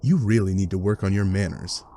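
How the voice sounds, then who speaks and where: A man's voice: low voice, fairly quick, warm tone, slight background noise, natural voice. A man playing a wry, exasperated game narrator, delivering scripted comic narration in a sardonic manner.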